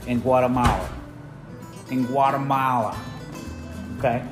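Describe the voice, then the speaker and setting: A man's voice: medium pitch, steady tone, unhurried, over music. A man hosting a casual vlog, explaining a recipe and often checking in with short tag questions. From Trinidad. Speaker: vlog host. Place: home kitchen.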